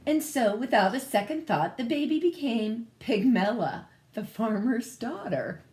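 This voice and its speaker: A woman's voice: a little high, a little hiss or hum. A woman reading a children's story aloud expressively, adding casual asides as she goes. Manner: expressive, pausing to comment on the pictures. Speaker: picture-book reader.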